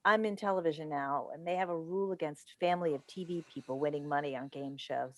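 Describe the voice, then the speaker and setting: A woman's voice: low-pitched, quick pace, close to an everyday voice. A woman playing a driven, needling mother and broadcast advice host in a staged play reading over a video call. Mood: wounded, needling. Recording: dry video call recording.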